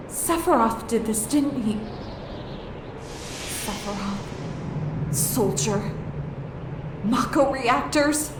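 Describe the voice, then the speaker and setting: Anguished, high-voiced vengeful young daughter. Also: female voice, roomy sound, distant mic, noisy background, exaggerated voice. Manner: emotional, raw, dramatic. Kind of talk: dramatic video game cutscene acting.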